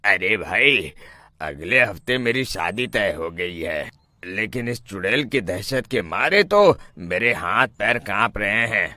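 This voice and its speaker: A man's voice: on the low side, expressive, slow, pushed and bright, a little hiss or hum, exaggerated voice. A man voicing an anxious, protesting young village bridegroom in a cartoon story. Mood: nervous. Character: nervous young village bridegroom, fearful but pleading.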